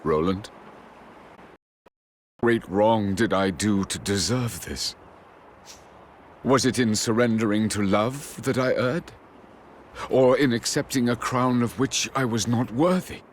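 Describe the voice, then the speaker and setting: A man's voice: lowish voice, takes it slowly, pauses often, slight background noise. An actor playing a grieving, lovelorn fallen king in a fantasy game, with formal, archaic phrasing. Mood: defeated sorrow. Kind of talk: theatrical fantasy game acting.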